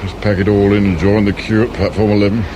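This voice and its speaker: A man's voice: on the low side, flat delivery, fast, background noise, lightly acted. An actor playing a grumpy middle-aged police inspector in a radio drama, sarcastic and impatient as he barks orders. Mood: sardonic, brisk. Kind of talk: British radio drama acting.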